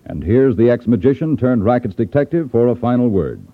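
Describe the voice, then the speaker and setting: A man's voice: mid-range voice, sing-song, a little fast. A man reading a scripted announcement in the style of 1950s radio. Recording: tinny, phone-like 1950s radio recording. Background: faint music.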